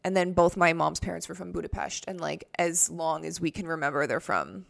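A woman's voice: on the low side, brisk, gravelly. A Canadian woman in her thirties, co-hosting a podcast in a casual, rambling chat full of "like" fillers. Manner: chatty, rambling, frequent "like" fillers. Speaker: podcast co-host.

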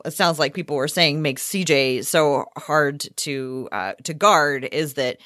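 A woman's voice: sits low, a little slow, a bit bright, dry recording, studio quality. An American woman chatting casually about sports as a podcast co-host.

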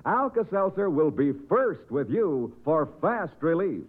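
Old time radio ad guy, super swoopy animated delivery, thin tinny sound. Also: a little high, unhurried. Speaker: commercial announcer. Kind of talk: 1950s radio advertising pitch. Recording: old narrow-band radio recording.

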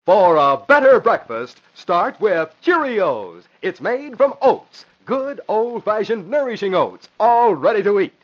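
High-pitched, swooping male radio announcer. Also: very animated, measured pace, hardly any pauses. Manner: emphatic, dramatic. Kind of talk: 1940s radio announcing, scripted narration and ad. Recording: muffled old radio, faint hiss.

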